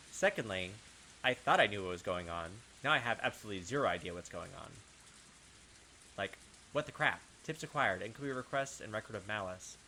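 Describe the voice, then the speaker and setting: A man's voice: fairly low pitch, bright, forceful tone, low-quality recording. A man giving casual gaming commentary and reading on-screen text aloud, talking through his theories in a puzzled way. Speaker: gaming video host. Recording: clear background game noise.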